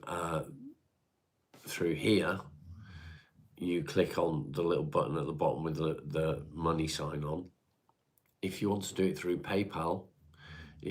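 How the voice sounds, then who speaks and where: A man with a very deep, low voice, talking casually into his stream. His nose is stuffed up, and he answers viewers one by one in a relaxed, matey way. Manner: chatty, answers viewer comments one by one, calls people mate. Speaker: livestream host reading chat. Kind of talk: casual livestream chat.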